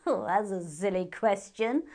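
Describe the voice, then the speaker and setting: A woman voicing a mad, cheeky, self-important male hare in a children's storybook, speaking in drawn-out exclamations. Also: medium pitch, animated, a little mellow, close to an everyday voice. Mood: stubborn, cheeky.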